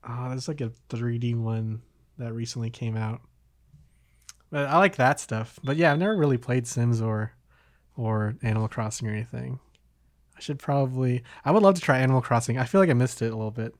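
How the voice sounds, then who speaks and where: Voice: medium pitch, no room sound, clean studio sound. A podcast host chatting casually about video games in an informal, slightly hesitant way.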